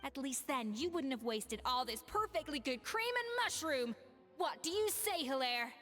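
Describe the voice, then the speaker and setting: Sharp, high-voiced exacting head chef. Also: female voice, expressive, big-room sound, soft background music. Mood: scornful. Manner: brisk, scolding, crisp. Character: Escoffier, exacting, stern young woman head chef, sharp-tongued perfectionist. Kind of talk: anime-style video game voice acting.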